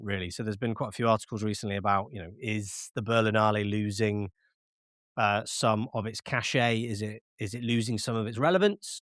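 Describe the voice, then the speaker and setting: A man's voice: mid-range voice, smooth, no room sound, studio quality. A man hosting a casual film podcast, chatting with frequent fillers and restarts while sounding slightly nasal from a cold. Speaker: podcast host.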